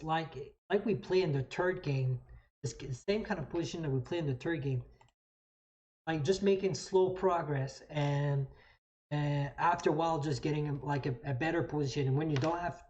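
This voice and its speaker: A French Canadian speaker whose first language is French, casually explaining chess moves on a live stream, halting and repeating phrases while thinking aloud. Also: medium pitch, a little mellow, muffled recording. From New Brunswick, Canada.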